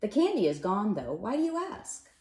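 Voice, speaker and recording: Woman reading as a gentle, lonely storybook man, lifted pitch. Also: sing-song, moderate pace, reverberant, lightly acted. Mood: polite, puzzled.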